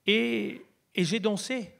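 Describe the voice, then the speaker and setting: A man from Italy, giving a prepared stage talk in French, pausing often on fillers. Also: sits high, fairly even intonation, creaky, fairly bright tone. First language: Italian. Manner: hesitant, many filler pauses. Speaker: pitch presenter.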